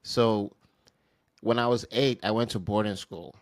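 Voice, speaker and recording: A man from Nigeria in his thirties, a firm founder talking casually as a podcast guest, relaxed and full of "you know" and "like.". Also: fairly low pitch, a little slow, no room sound. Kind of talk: casual interview talk.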